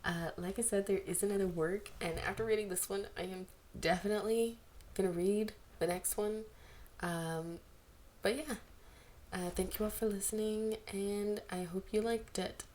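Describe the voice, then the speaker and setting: A woman's voice: mid-range voice, steady tone, moderate pace, darkish tone. A woman with an informal, gushing manner, reading fan fiction and chatting casually to listeners as an amateur narrator. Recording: amateur home recording with background noise. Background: background noise.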